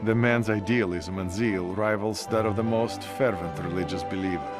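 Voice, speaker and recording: A man's voice: fairly low pitch, fairly even intonation, normal speed, close to an everyday voice. An actor playing a wry, cynical Soviet soldier reading his letter home in a video game, with a sardonic manner. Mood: wry, weary. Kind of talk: letter read as game voice-over. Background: music.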